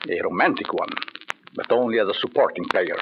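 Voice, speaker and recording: A man's voice: lowish voice, lo-fi sound, natural voice. A man as a suave, menacing jealous husband. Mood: coldly amused. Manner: mockingly courteous, measured, sardonic. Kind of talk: 1940s radio thriller acting. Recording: noisy old band-limited radio recording.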